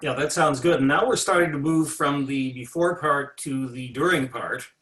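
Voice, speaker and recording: A man's voice: a little high, moderate pace, a bit bright, echoey room, distant mic. A man moderating an online convention panel, steering the discussion in an orderly way.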